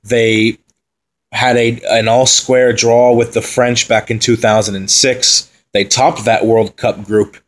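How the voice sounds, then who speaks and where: A man's voice: medium pitch, level tone, slow pace. Casual man previewing a football side. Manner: conversational, with fillers and asides. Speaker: football pundit. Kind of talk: solo commentary on team previews.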